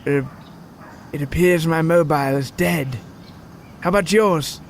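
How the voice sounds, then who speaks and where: A man's voice: a little high, measured pace, creaky, slight background noise. A man voicing a wry, affectionate British husband who grumbles, in an audio story with music. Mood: concerned.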